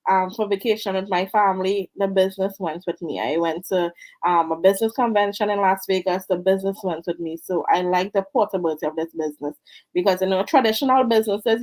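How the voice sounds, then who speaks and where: A woman from Trinidad and Tobago with a Trinidadian accent, speaking on a video call as an interview guest, earnest and warm and quick to laugh. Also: mid-range voice, steady tone, rough recording. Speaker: guest, online business mentor. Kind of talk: casual interview.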